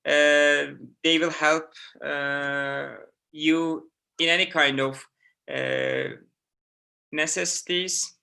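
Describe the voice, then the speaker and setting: Middle-aged Turkish-accented male professor, slightly high, bright tone, halting delivery full of "uh"s. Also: flat delivery, creaky. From Turkey. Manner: halting, many "uh" fillers and restarts. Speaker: university professor. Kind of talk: online info session answering student questions.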